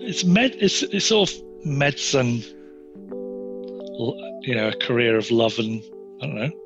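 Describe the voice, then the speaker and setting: A man's voice: medium pitch, very animated, measured pace. An older British man, a doctor, musing aloud, searching for his words and making dry jokes. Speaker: doctor, host's father. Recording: slightly dull sound with music.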